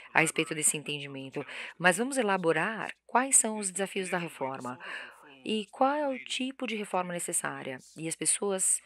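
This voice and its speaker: A woman giving live interpretation of a lecture, halting and often correcting herself mid-word. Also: fairly low pitch, fairly bright tone. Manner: halting, with frequent self-corrections and restarts. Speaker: simultaneous interpreter.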